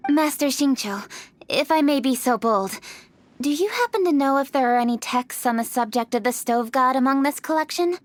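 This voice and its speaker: A woman's voice: high voice, lively intonation, moderate pace. An actress voicing a formal, composed young female official in a fantasy video game, speaking precisely. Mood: polite, businesslike. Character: poised, formal, diligent young noblewoman official.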